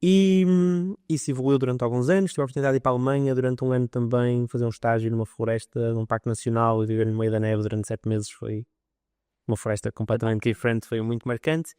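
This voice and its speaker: A man's voice: medium pitch, flat delivery, fast talker, darkish tone, smooth, no room sound, studio quality. A young man from Portugal, telling his story as a biologist guest on a podcast, eager and full of detail. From northern Portugal. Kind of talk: podcast interview.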